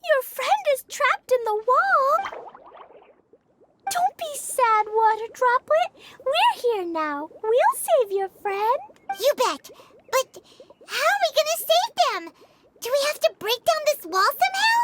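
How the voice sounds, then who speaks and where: A woman voicing a small, excitable young girl in a game, in a very high, bubbly childlike voice, eager and chattering. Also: slow pace, heavy background noise, full character voice. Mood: excited. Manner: eager, chattering, easily surprised. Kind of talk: game dialogue. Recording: game audio with loud music beneath.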